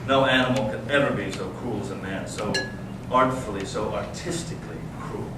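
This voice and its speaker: A man acts out a bitter, searching young intellectual in a readers theater drama. He speaks deliberately and earnestly, with dramatic pauses. The sound is very echoey, picked up from a distance with clear background noise. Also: fairly low pitch, lightly acted. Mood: grave, indignant. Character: bitter, intense young intellectual Russian brother, searching and provocative. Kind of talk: staged readers theater reading. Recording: echoey room, distant mic, noisy amateur recording.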